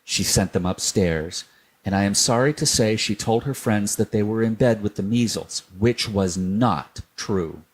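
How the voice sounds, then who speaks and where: A man reading a children's storybook aloud, gentle and unhurried. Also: on the low side, poor audio.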